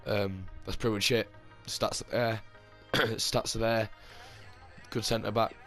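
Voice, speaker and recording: A slightly hoarse man with a low voice talks through his team in casual gaming commentary. He rambles, circles back and restarts his sentences, and his delivery stays very flat. Faint music plays underneath. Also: slow. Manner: rambling, with many fillers and restarts. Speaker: gaming channel host.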